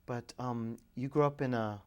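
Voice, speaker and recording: A middle-aged man, a priest, hosting a public access television interview, speaking in measured introductions with occasional fillers. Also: medium pitch, fairly even intonation, darkish tone, clean tone, muffled recording.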